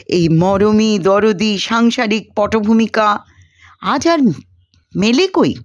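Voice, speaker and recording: A woman's voice: medium pitch, lively intonation, slow pace, no echo, clean studio sound. A woman voicing a restless, idealistic young male student in a storybook, declaiming earnestly and theatrically. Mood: earnest, disillusioned. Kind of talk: storybook character dialogue.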